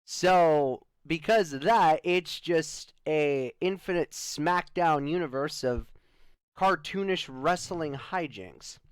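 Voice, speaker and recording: A man's voice: on the high side, takes it slowly, smooth, no echo. A man playing a wry spaceship adventurer in loose, improvised sci-fi roleplay, joking and stretching out his lead-ins. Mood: wry, explaining.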